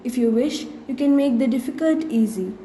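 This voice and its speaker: Teen girl, high-pitched; measured student prayer recitation, slight background noise. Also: lively intonation, warm tone. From India. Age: teenager, ninth grade. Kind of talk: prayer recitation with English translation. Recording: faint background noise, school intercom assembly.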